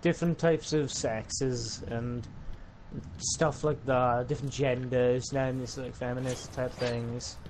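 An excitable young man with a Welsh accent joking through a co-op game session. His pitch leaps up when he gets worked up, and he talks in a middling register. Game sound and vehicle noise run clearly underneath. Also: slow pace. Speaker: gaming streamer. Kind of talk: casual co-op gameplay chat.

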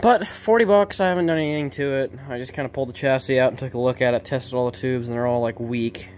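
A man giving a casual, off-the-cuff narration as a hobbyist repairer, speaking informally and haltingly into a handheld camera in an indoor workshop. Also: mid-range voice, slight background noise. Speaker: vintage TV repair hobbyist narrating his video.

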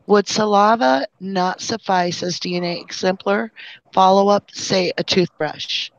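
A woman's voice: mid-range voice, steady tone, unhurried. A woman hosting a live chat discussion, reading out questions and passing them to the panel. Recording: dull lo-fi online call audio.

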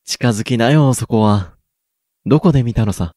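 A man's voice: mid-range voice, moderate pace, no room sound, clean studio sound, close to an everyday voice. A young man playing a teasing, supportive schoolboy in a radio drama. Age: young adult. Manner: playful, gently needling. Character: teasing, supportive schoolboy best friend Ayato. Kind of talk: Japanese student radio drama acting.

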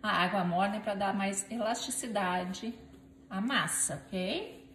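A woman's voice: mid-range voice, unhurried, fairly bright tone. Chatty woman cooking in an echoey kitchen. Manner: chatty and playful, talks to viewers and her helper while kneading. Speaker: home cooking vlogger. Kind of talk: casual cooking demonstration. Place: home kitchen, indoors. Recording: echoey kitchen with clear background noise.

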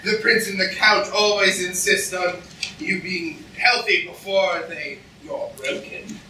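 A man's voice: high voice, steady tone, unhurried, heavily acted. A young man playing a creepy, gloating dungeon henchman with menacing delivery in an amateur staged reading. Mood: ominous. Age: young adult. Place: lecture hall stage. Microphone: far camera. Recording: echoey hall, distant, loud audience noise.

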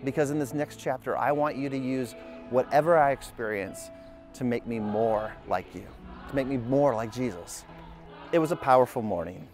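A man's voice: mid-range voice, moderate pace, darkish tone. A man in his thirties hosting a family vlog, narrating in an upbeat, earnest way. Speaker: vlog host. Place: outdoors in a park. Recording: music bed behind voice.

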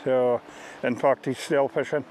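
A middle-aged man with a Scottish accent, working as a fishing ghillie, explains things informally in a halting, plain-spoken way. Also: mid-range voice, little pitch movement, a little mellow, rough recording. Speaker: fishing ghillie on a river beat. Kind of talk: informal interview-style explanation of a fishing beat. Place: outdoors on a riverbank. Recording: outdoor ambient noise behind voice.

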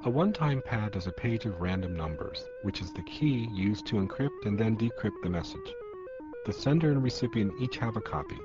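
A man's voice: on the low side, lively intonation, fairly quick. A man reading scripted first-person narration for a radio documentary. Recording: dull, lo-fi radio, loud sound beds. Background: loud music and shortwave sounds.

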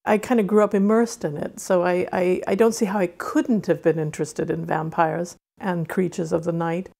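A woman's voice: on the low side, steady tone, moderate pace, vocal fry, a little mellow. A woman author with a mid-Atlantic accent, from Wales and Arizona, speaking about and reading her fiction. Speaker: author reading and discussing own novel.

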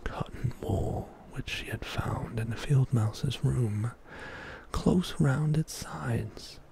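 Whispering man reading a slow bedtime story. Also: mid-range voice. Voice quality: soft, breathy whisper. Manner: slow, hushed, soothing narration. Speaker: ASMR storyteller. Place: small indoor home studio. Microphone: close studio microphone.

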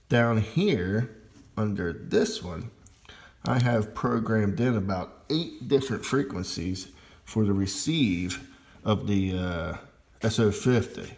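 A man with a fairly low voice talks through a hands-on demonstration in an informal, unscripted way. His delivery is slow and halting, with a heavy creak running through it. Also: darkish tone. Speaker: amateur radio hobbyist demonstrating gear.

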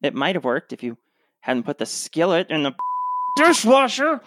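A woman's voice: sits low, sing-song, moderate pace, a bit bright, dry recording, clean studio sound, full character voice. A woman playing an exasperated, sarcastic sceptic in a parody radio play.

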